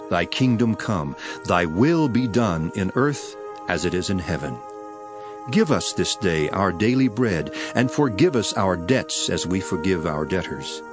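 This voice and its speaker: A solemn preacher with authority in a Bible audio drama. His low voice rises and falls widely as he declaims each measured phrase with emphasis, over background music. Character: solemn male preacher delivering scripture with authority. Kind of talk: dramatized King James Bible reading.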